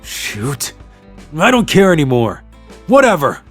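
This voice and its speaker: An actor playing a menacing, possessive ex-boyfriend in a manga drama, speaking in a threatening way. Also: male voice, a little high, wildly swooping pitch, takes it slowly, soft background music, full character voice. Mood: annoyed, dismissive.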